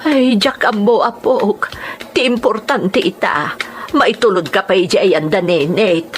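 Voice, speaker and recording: Woman playing a fretful grandmother, medium pitch. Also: a little slow, a little hiss or hum, lightly acted. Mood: worried, explaining. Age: older. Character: concerned grandmother and wife of an ailing old man. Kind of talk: radio drama acting.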